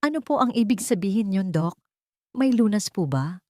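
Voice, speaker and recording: A woman's voice: mid-range voice, animated, moderate pace, dark tone, dry recording, clean studio sound, voice pushed up, lightly acted. A woman voicing a frightened young mother of four in a storybook reading, her lines pleading and anxious. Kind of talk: scripted narration.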